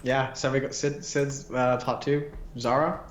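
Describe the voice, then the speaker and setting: A man's voice: medium pitch, level tone, unhurried, a little hiss or hum. A man co-hosting a casual livestream, rambling and restarting his sentences. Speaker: livestream co-host. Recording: echoey room.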